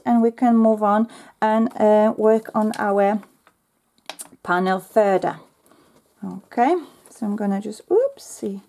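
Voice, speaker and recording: Soft-spoken woman with a lilt. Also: on the high side, creaky. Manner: chatty, talks to herself while working, frequent ums. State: slightly losing her voice. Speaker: crafting tutorial host. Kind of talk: casual step-by-step craft tutorial.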